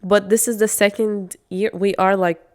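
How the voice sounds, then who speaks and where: A woman's voice: medium pitch, level tone, moderate pace. A young woman whose first language is Arabic, co-hosting a podcast in a chatty, enthusiastic way. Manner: chatty, enthusiastic, many fillers and restarts. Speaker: podcast co-host. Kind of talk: casual chat.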